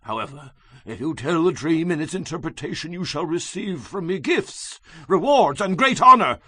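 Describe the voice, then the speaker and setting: An actor playing an angry, imperious king in a dramatized audio Bible, with a raspy, commanding, theatrical delivery. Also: fairly high pitch, animated, normal speed, sharp tone, no echo, clean studio sound, heavily acted. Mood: stern, threatening. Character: angry, imperious king issuing threats and demands.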